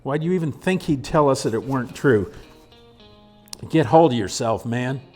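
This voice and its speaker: A stern, exasperated pioneer man snapping at his companion, as a man voices him. His voice rises sharply above the reader's own, with soft music beneath. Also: medium pitch, lively intonation, moderate pace, pitched above the performer's own voice, close to an everyday voice. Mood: scolding. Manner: scolding.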